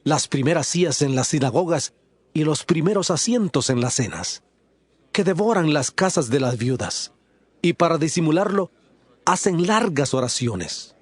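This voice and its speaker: A man's voice: on the high side, lively intonation, moderate pace, slightly rough, no room sound. A man playing an authoritative religious teacher in a dramatized scripture audiobook, speaking in a solemn, emphatic, declamatory way. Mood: stern warning.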